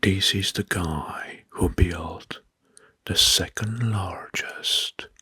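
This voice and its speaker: A man narrating history as ASMR in a breathy, hushed whisper, slowly and deliberately, softly repeating words. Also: low-pitched, steady tone, no room sound.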